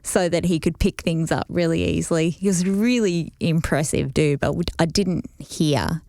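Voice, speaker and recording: A woman's voice: fairly low pitch, moderate pace, dark tone, no room sound, studio quality. A woman co-hosting a podcast, telling a rambling family story in casual chat. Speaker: podcast co-host.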